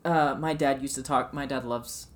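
A man's voice: medium pitch, steady tone, normal speed. A man in his early twenties co-hosting a podcast, chatting casually and playfully in a chaotic, comedic way and often cutting in. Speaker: podcast co-host. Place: bedroom. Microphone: podcast microphone shared by two.

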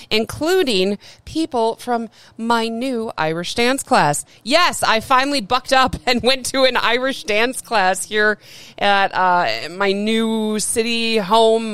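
Chatty woman podcast host, smooth voice. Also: mid-range voice, a bit bright, no echo. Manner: chatty and upbeat, laughs often; former radio broadcaster. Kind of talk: casual solo podcast monologue.

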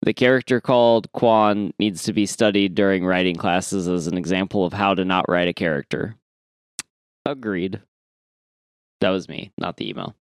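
Clear, low-voiced man co-hosting a podcast. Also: moderate pace, no echo. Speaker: podcast co-host. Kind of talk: casual comic chat, reading listener emails.

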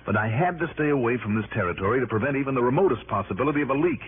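A man's voice: mid-range voice, expressive, racing, close to an everyday voice. An actor playing an indignant, insistent male private investigator with a wry, slangy manner in a 1960s radio drama. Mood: urgent, pleading. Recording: tinny, noisy 1960s radio recording.

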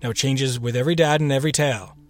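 A man's voice: medium pitch, steady tone, very fast, soft background music. A man hosting a podcast with a playful, theatrical manner, chatting his way through a scripted introduction. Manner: playful, theatrical, with whispered echo-like repeats and asides. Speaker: podcast host.

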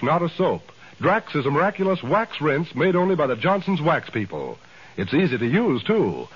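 A man's voice: on the high side, breathy edge. A man working as a radio announcer, reading a commercial in 1940s style. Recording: muffled 1940s radio recording.

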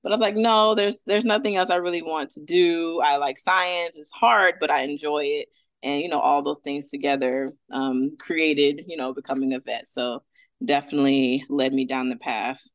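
A woman's voice: low voice, steady tone, normal speed, dry recording. A woman in her thirties from Atlanta, a veterinarian guest talking casually and upbeat, with many fillers. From Atlanta, Georgia, US. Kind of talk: casual interview.

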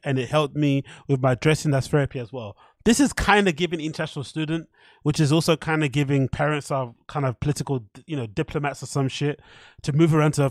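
A British man with a fairly high-pitched voice talks casually into a podcast mic in a very dry-sounding space. He rambles, reads bits out and reacts in an irreverent, slang-heavy, sweary way. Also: no room sound. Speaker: podcast host. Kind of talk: casual rambling commentary, reading out an interview and reacting.

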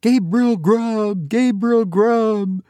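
A male narrator puts on wild, unseen storybook goblins. The cry is high, eerie and slowly drawn out, like a chant. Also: mellow, no echo. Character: wild screaming chorus of invisible goblin voices.

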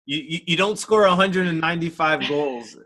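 Relaxed, jokey man asking interview questions. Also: on the high side, moderate pace. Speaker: interviewer, coach.

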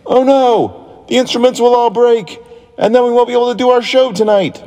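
A man playing a fussy, panicked orchestra conductor in a storybook reading, in a shrill and alarmed voice. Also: a little fast, mellow, long reverb tail.

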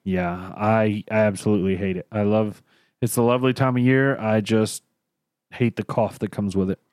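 A man's voice: on the low side, steady tone, moderate pace, a little mellow, clean tone, no echo, studio quality. Man with a cough, rambling. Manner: rambling, loses his train of thought. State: coughing, tickly throat. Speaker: podcast co-host. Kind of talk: casual chat.

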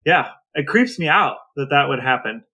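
A man's voice: on the high side, animated, normal speed, pushed and bright, breathy edge, dull audio. A man co-hosting a podcast in casual, conversational chat. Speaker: podcast co-host.

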